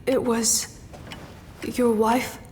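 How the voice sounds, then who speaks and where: An actress playing a grave, hesitant woman judging a killer in an audio drama, with halting delivery. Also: a little high, level tone, darkish tone, a little hiss or hum.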